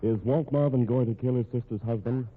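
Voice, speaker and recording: A man with a husky voice announcing a radio drama in dramatic, scripted old-time style. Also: mid-range voice, fairly even intonation, fairly quick, heavy background noise. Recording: tinny, narrow-band old radio recording.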